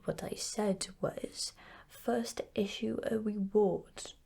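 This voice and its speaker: A woman's voice: mid-range voice, slow pace, vocal fry, warm tone, breathy edge, whispered, full character voice. A woman voicing a self-important, wordy owl who explains patiently in a children's bedtime story. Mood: instructing. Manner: pompous, explaining, with small hesitations.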